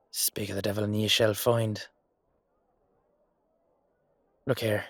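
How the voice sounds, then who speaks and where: A man with an Irish accent playing a hot-headed, loyal knight in a roleplay audio drama, gruff and colloquial with a wry streak. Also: lowish voice, little pitch movement, a little slow, sharp tone, slight background noise. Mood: grim, wary.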